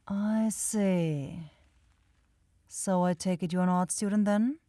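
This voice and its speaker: Woman as a slow-talking, teasing vampire loner girl. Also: mid-range voice, warm tone, dry recording, lightly acted. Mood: aloof, teasing. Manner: dry, teasing, slow and deliberate. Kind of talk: ASMR roleplay addressed to the listener.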